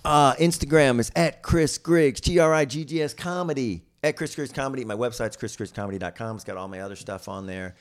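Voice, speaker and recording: A man's voice: mid-range voice, fairly quick, hardly any pauses. A man talking casually as a podcast guest, in a wry, rambling way that often cuts into his own sentences. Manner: rambling, self-interrupting, wry. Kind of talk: casual conversational chat.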